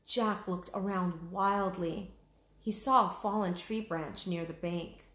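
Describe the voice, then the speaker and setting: A woman's voice: lowish voice, fairly even intonation, slow pace, fairly bright tone. A woman hosting a read-aloud, narrating a children's storybook expressively. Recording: echoey room.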